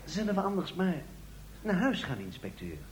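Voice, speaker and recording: A man's voice: high voice, wildly swooping pitch, moderate pace. Een man die in een komisch hoorspel een bange, aarzelende brigadier speelt, onderdanig tegenover zijn inspecteur. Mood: timid, reluctant. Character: reluctant, timid police sergeant, comic sidekick to inspector. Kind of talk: comic radio play acting. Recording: noisy, echoey old radio play recording.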